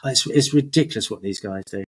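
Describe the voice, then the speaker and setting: A man's voice: lowish voice, fast, breathy edge. A middle-aged man chatting as an interview guest, telling his story in a hesitant, rambling, self-correcting way. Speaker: podcast guest, business owner.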